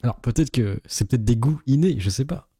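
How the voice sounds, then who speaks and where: A man's voice: medium pitch, animated, brisk, mellow, husky, no room sound, studio quality. A man giving casual reaction commentary as a YouTuber, in a sarcastic, sceptical and rambling way.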